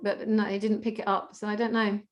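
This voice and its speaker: A woman shares her own experience in a casual, unscripted way. Her pitch is average and stays quite flat, and she often hesitates as she searches for words. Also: fairly quick. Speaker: carer and contributor. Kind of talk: casual personal account.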